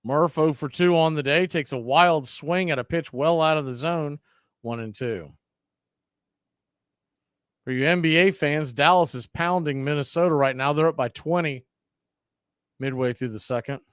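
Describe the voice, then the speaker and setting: A man calling baseball play-by-play for a fan radio stream, mixing pitch calls with blunt opinionated asides. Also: moderate pace, long pauses, fairly bright tone, smooth, dry recording, studio quality.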